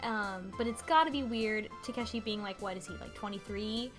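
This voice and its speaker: A woman's voice: mid-range voice, moderate pace. A woman co-hosting a casual discussion, recapping and commenting on a game's story. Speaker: podcast co-host recapping the plot. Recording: faint music behind the voice.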